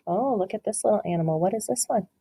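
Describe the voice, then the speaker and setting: A woman's voice: mid-range voice, quick pace, gravelly, mellow, slightly rough. A woman teacher reading a picture book aloud to children, encouraging them and asking questions about the pictures.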